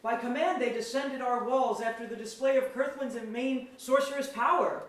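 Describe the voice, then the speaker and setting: A woman plays a frightened, pleading soldier archer, high-pitched and urgent. She reads old-fashioned verse from some way off in a very echoey auditorium. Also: fairly even intonation, moderate pace, distant mic, lightly acted. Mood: alarmed. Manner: urgent archaic verse delivery. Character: alarmed, pleading archer loyal to the queen. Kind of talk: staged reading of mock-Shakespearean verse drama. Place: indoor auditorium stage.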